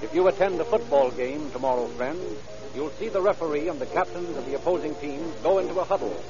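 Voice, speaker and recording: A man's voice: fairly high pitch, fast talker. A man announcing a 1930s radio commercial in a polished, folksy sales manner. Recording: dull old radio recording, music behind.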